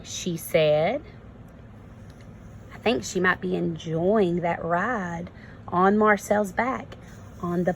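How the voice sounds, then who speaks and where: Woman, kindergarten teacher, storybook read-aloud with simple asides, gravelly fry, slow delivery. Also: mid-range voice, slight background noise, low-quality recording. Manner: simple explanations addressed to young children.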